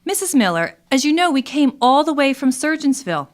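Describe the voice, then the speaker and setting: A woman's voice: high-pitched, very animated, fairly quick. A woman acting in community radio theatre as a focused, wry friend who herds her distracted companions. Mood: exasperated.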